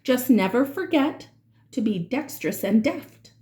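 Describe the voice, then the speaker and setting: A woman with a high-pitched voice reads a rhyming picture book aloud to children. Her delivery is expressive and dramatic, and she stretches out key words as she follows the verse. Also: measured pace. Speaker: children's read-aloud reader.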